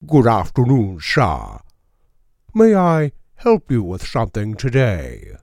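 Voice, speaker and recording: A man voicing a gaunt, courteous old bookstore owner with a strong, crisp voice and a hard-to-place accent, speaking slowly and formally. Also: mid-range voice, wildly swooping pitch, darkish tone, no room sound, clean studio sound, heavily acted. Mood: courteous, eerie. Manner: slow, measured, formally polite.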